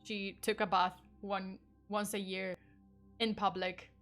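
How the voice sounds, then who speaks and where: A woman's voice: medium pitch, flat delivery, takes it slowly, a bit bright, faint music behind. Polish-accented woman streaming casually. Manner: chatty, laughs often, lots of fillers and swearing. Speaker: vtuber streamer. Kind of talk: casual true crime livestream.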